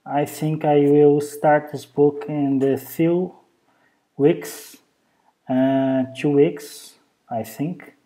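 A native Brazilian Portuguese speaker with a Brazilian Portuguese accent, speaking hesitant English with many pauses in a casual show-and-tell vlog recorded at home on a handheld camera. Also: medium pitch, level tone, dark tone. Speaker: vlogger. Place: indoors at home, over a bed.

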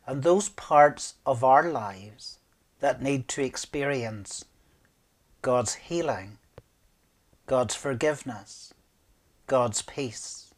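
Man, fifties, minister, communion liturgy, solemn and measured, slow delivery, mid-range pitch. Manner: measured, solemn, with deliberate pauses. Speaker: minister leading worship. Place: small room indoors, at a desk.

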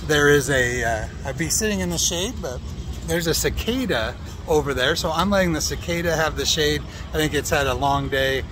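A middle-aged American man reviewing food casually to camera in a halting, easygoing, understated way, recorded outdoors on a phone. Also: a little high. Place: outdoors at a fairground. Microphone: phone at arm's length. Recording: outdoor phone recording with ambient fairground noise.

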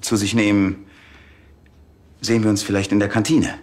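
Actor as deep-voiced, awkwardly polite doctor. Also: male voice, level tone, normal speed, darkish tone, natural voice. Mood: awkward, courteous. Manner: hesitant, courteous. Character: polite, awkward, shyly courting male doctor. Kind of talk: TV drama acting.

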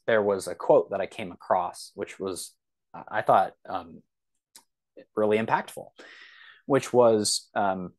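A man with a fairly low voice talks casually as a guest on a podcast. He is enthusiastic and halting, often thinking out loud as he goes. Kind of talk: casual discussion.

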